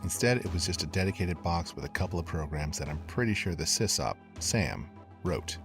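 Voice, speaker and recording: Brooding male investigator, low-pitched, measured and confiding horror-podcast narration, clear music underneath. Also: expressive. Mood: measured, intrigued. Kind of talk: scripted first-person horror podcast narration.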